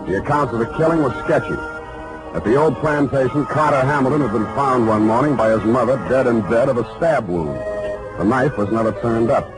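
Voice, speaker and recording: A man's voice: mid-range voice, brisk, close to an everyday voice, rough recording. A man acting a wry, laconic hard-boiled private detective in a 1940s radio drama. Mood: wry narration. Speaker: actor and narrator. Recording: vintage 1940s radio broadcast, loud music.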